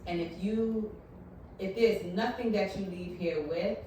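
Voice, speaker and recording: A woman's voice: medium pitch, measured pace, warm tone, slight background noise. A woman moderating a panel, speaking in an encouraging, preacher-like cadence. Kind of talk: panel discussion. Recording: echoey room, mic from a distance.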